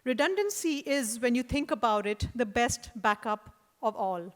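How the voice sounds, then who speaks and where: A woman with an Indian accent delivers a prepared lecture at a fairly high pitch. She reads in a measured, deliberate way and breaks off for wry asides and hesitant fillers. Her voice rings through a hall with a long reverb tail. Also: animated. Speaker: artist giving a lecture. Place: lecture hall at an art event.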